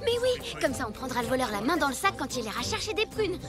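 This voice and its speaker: Casting: a spirited cartoon youngster, extremely high childlike voice, strong music bed. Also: female voice, fast. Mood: determined. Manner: childlike, expressive. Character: lively, resolute prehistoric child, loyal best friend. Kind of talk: animated children's cartoon dubbing. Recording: loud music under the voice.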